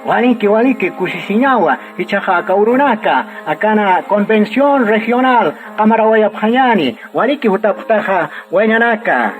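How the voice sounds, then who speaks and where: High-pitched welcoming pastor. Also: male voice, lively intonation, moderate pace, few pauses, over music, rough recording. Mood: friendly, earnest. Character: welcoming evangelical missionary pastor, a man greeting guests. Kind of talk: radio drama dialogue in Aymara and Spanish.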